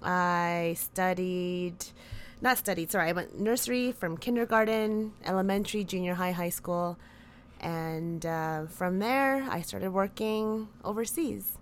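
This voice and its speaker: A woman's voice: fairly low pitch, a little slow, slight background noise. A woman in her thirties, born in the Philippines, answering interview questions in a chatty way that often breaks into laughter. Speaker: podcast guest.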